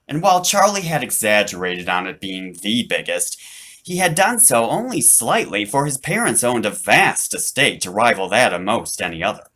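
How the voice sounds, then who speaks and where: Male author-narrator for a storybook: slightly low voice, very animated swings in pitch, dramatic emphasis. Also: moderate pace. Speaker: author reading his own book.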